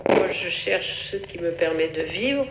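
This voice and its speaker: Female, solemn monologue, unhurried and halting, roomy sound with faint noise. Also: fairly low pitch, expressive, over loud music. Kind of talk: reflective, solemn spoken monologue. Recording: echoey room with faint noise.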